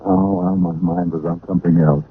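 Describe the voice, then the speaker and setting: An actor playing a wry, deadpan hard-boiled private detective in a 1940s-style radio drama. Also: male voice, fairly high pitch, moderate pace, creaky, husky, no room sound, heavily acted. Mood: teasing. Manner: deadpan, wisecracking. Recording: noisy, tinny old radio recording.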